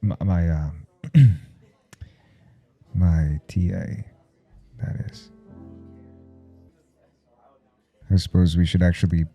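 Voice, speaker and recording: A man playing a flustered, jealous professor in an intimate audio roleplay, speaking haltingly and gruffly with a gravelly voice and plenty of swearing. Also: deep voice, fairly even intonation, warm tone, heavily acted. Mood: jealous confession. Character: jealous, flustered male professor smitten with his teaching assistant.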